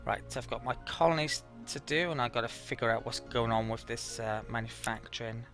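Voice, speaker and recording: A man's voice: medium pitch, fairly quick, fairly bright tone, soft background music. A man giving casual gameplay commentary as a let's play host, thinking aloud in a hesitant, rambling way.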